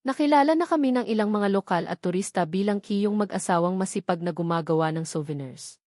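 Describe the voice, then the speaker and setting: A woman's voice: mid-range voice, expressive, brisk, darkish tone, no echo, studio quality. A woman reading a scripted first-person love story as dramatic narration, in an emotive, sentimental storytelling manner.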